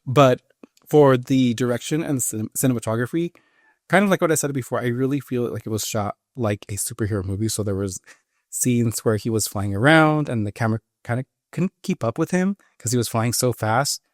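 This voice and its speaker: A man co-hosting a podcast, reviewing films in a casual, halting conversational way. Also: mid-range voice, dry recording, clean studio sound. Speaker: podcast co-host. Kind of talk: casual film review chat.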